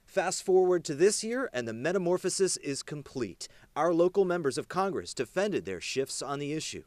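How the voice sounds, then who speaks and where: Animated high-pitched male news reporter. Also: normal speed, no room sound. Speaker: television news reporter and anchor. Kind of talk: scripted TV news narration.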